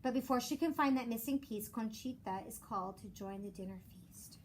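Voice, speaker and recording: Female teacher, high-pitched, expressive children's read-aloud, measured delivery, clear background noise. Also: rough recording. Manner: measured storytelling with rhymed cadence. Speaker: elementary school teacher.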